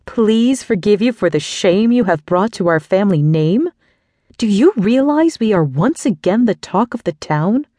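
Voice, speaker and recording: A woman voicing a haughty, domineering older aunt who speaks slowly with scorn. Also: mid-range voice, lively intonation, warm tone, dry recording, clean studio sound, voice pushed up, close to an everyday voice. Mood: scolding. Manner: slow, disdainful, seething.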